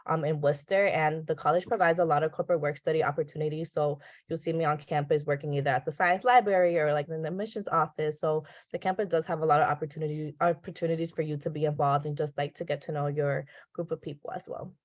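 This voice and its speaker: Low-voiced, fast-talking young woman. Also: fairly even intonation, no room sound. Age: young adult. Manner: casual, with lots of "like". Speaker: student panelist. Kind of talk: webinar panel talk. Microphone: video call.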